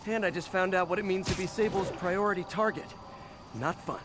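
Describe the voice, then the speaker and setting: An actor playing a young, quippy, protective American superhero in a video game. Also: male voice, high voice, fairly quick, background noise, lightly acted. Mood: wry, brisk.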